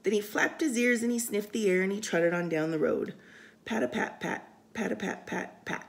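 A woman reading a picture book aloud for storytime, expressive and sing-song, with casual asides. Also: mid-range voice, normal speed, creaky. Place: indoors, room by a window.

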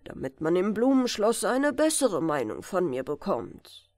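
A woman's voice: on the low side, sing-song, measured pace, a little mellow, no echo, studio quality. A woman voicing an ashamed young storybook prince, earnest and solemn. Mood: contrite, resolute.

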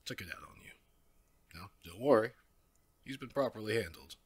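A man playing a smug, menacing werewolf pack leader in an ASMR audio drama, speaking with raspy, mock-courteous condescension. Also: fairly low pitch, expressive, moderate pace. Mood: smug, coaxing.

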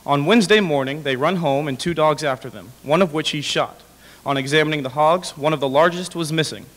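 A young man reading a formal historical letter aloud at a podium microphone, somewhat halting over the words. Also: on the high side, fairly bright tone. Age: young adult. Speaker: dramatic reader. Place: indoor hall with brick walls, small audience. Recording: reverberant hall.

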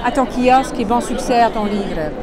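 High-pitched Quebec woman interviewer, noisy crowd. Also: moderate pace. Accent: Quebec French. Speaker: interviewer, book club host. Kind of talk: impromptu on-camera interview. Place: large indoor exhibition hall at a book fair. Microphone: phone at arm's length. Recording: loud busy book fair crowd.

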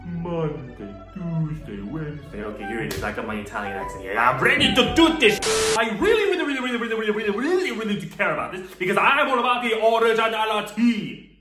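A man's voice: high voice, big pitch swings, quick pace. Playful young man in an echoey room. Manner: playful, exaggerated, jumps between shouting and mumbling. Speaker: music teacher and comedy host. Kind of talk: comedic sketch lecture. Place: small room at home. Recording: echoey room, mic at a distance, music underneath.

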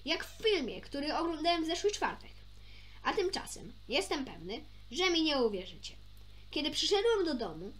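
High-pitched, animated woman reading a story. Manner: animated, stumbles and rereads phrases. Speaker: amateur storyteller reading a children's book. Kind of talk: expressive read-aloud narration of a children's story.

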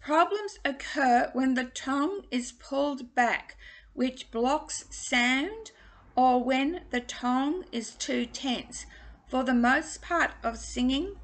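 A woman's voice: high-pitched, fairly bright tone. A woman in her sixties with an Australian accent carrying a trace of English, teaching singing from a small room at home and carefully enunciating vowel words into a laptop webcam. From England, raised in Australia. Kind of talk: home video singing lesson.

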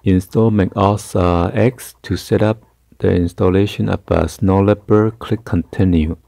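Very deep, gravelly male tutorial host. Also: steady tone, warm tone, dry recording. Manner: halting, hesitant delivery. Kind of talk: casual hands-on tech tutorial. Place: carpeted room indoors.

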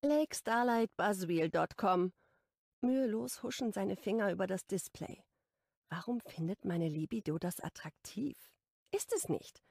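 A woman's voice: medium pitch, a little slow, vocal fry, a little mellow, dry recording, clean studio sound. A woman narrating a first-person romance audiobook in an intimate, lightly playful tone.

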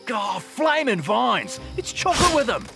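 Brash Aussie crook: high, gravelly, bouncy. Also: male voice, sing-song, unhurried, music in the background, exaggerated voice. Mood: awkward. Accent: Australian. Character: brash male Australian boomerang-throwing crook.

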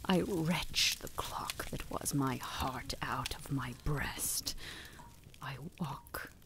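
An actress playing a wounded, vengeful captive woman in a radio play, whispering her monologue in a slow, breathy manner. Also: rumbling low, full character voice. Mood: bitter, intense.

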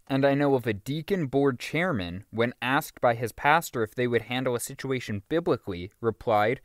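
A man narrating a religious teaching audiobook in an earnest, emphatic, deliberately phrased way. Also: mid-range voice, lively intonation, no room sound, studio quality. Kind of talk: scripted audiobook reading of a religious teaching book.

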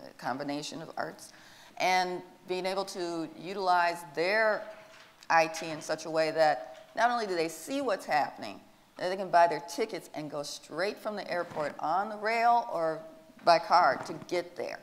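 A woman's voice: fairly low pitch, normal speed, in a large hall. A woman speaking as a panelist about an airport arts program, eager and promotional. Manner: enthusiastic, promotional. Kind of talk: panel discussion. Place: stage before an audience in a large hall.

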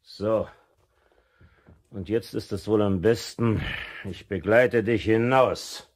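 An actor playing a suspicious, patronising middle-aged uncle in a radio play, commanding and condescending. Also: male voice, fairly low pitch, slow pace. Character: suspicious, shady uncle, patronising adult man. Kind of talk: 1970s German radio play acting.

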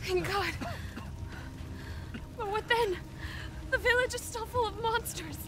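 A woman's voice: very high voice, slow pace, background noise. A frightened young village woman. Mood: anxious. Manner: pleading, desperate. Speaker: villager survivor. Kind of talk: video game cutscene acting.

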